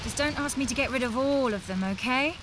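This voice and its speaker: Woman acting a high-voiced sassy young mage. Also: a little fast, heavy background noise, close to an everyday voice. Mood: sassy, casual. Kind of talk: video game cutscene voice acting.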